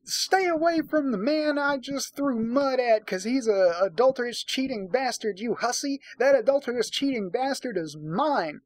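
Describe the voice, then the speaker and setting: A man puts on a bewildered, indignant wife out of a romance novel. The delivery is exasperated and pleading, raised somewhat in pitch. It sounds dry and close. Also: animated, moderate pace, few pauses, dry recording.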